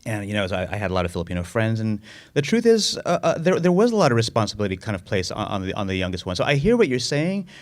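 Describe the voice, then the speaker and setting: A man's voice: fairly low pitch, big pitch swings, very fast. A man answering audience questions as a film director, casual and rambling, often restarting and repeating words. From United States.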